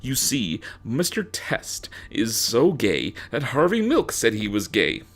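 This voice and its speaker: A man's voice: medium pitch, huge pitch swings, slow pace. Sarcastic young man giving a dramatic reading. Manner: sarcastic, crude, theatrical emphasis. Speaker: narrator reading own fanfiction.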